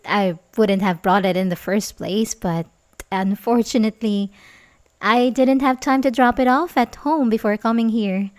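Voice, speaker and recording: A woman's voice: medium pitch, unhurried, lightly acted. A young woman acting an ASMR roleplay as a sweet, coaxing, secretly obsessive babysitter, speaking hesitantly and intimately with little giggles. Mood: coaxing, playful.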